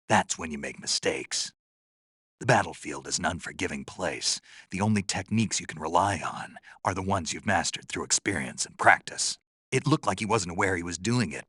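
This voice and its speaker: Gruff american game soldier, real deep n raspy, terse and dry, no room sound. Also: male voice, a bit bright, no room sound, full character voice. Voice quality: gravelly, husky, low. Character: gruff adult male soldier on a covert mission. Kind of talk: dramatic game voice acting.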